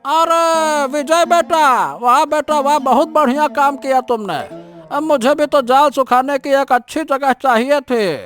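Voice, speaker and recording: An effusive, grateful older village uncle acted out by a voice performer in a cartoon story. The voice is notably high and full of praise, with music playing behind it. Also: unhurried, a bit bright. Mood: grateful, approving. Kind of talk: Hindi cartoon story dialogue.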